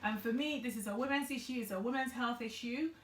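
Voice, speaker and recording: A woman's voice: on the high side, fast, a little hiss or hum. A woman in her forties from Ghana, earnestly explaining health issues as a guest in a conversational interview. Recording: echoey room.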